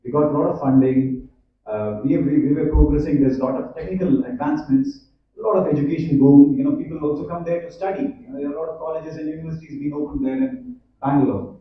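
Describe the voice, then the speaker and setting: Indian guy talking to students in a big echoey hall, kinda high voice, far from the mic, muffled. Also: lively intonation, quick pace. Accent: Indian English. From Hyderabad, India. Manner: chatty, questions the audience, many fillers. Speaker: conference speaker. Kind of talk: conference talk to students. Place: large echoey college hall. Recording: echoey hall, distant, dull.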